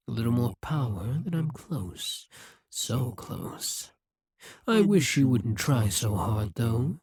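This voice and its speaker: A scheming demon king, speaking in a breathy, husky hush. He takes his time, slow and deliberate, each word pressed close in a dry, dead room. Possessive and intense, he leans right into the listener's ear. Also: mid-range voice, no room sound, heavily acted. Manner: slow, measured, intimate delivery. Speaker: voice actor. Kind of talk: audio roleplay acting.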